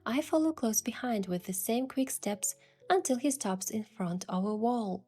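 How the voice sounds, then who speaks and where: Game heroine, female performer: young would-be princess, mellow and soft, wistful first-person storytelling, faint music behind. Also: fairly high pitch, animated, normal speed. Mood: soft, descriptive. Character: curious young woman posing as an indulgent princess. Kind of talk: scripted first-person story narration with acted dialogue.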